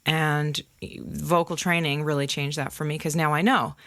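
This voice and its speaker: A woman singer speaking as a podcast guest, candid and open as she tells her stories, with occasional laughs. Her voice is slightly low for a woman, recorded close and dry with no room echo. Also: flat delivery, normal speed, a bit bright. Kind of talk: casual interview chat.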